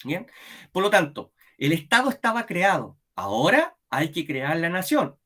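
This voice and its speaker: A man's voice: on the high side, normal speed. A Chilean man lecturing history to students watching from home. His voice rises and falls widely as he tells the story, and he keeps stopping to ask whether they are following. He has the talkative, familiar manner of a classroom teacher. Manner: animated, keeps checking in with "¿cierto?" and "¿ya?".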